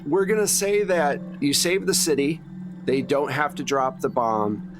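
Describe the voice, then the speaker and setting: A man running a tabletop horror game, improvising the narration in short phrases with pauses between them. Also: fairly high pitch, noisy background. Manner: pausing, piecing out sentences in short phrases. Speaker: game master of a tabletop actual play. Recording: music playing under the voice. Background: music.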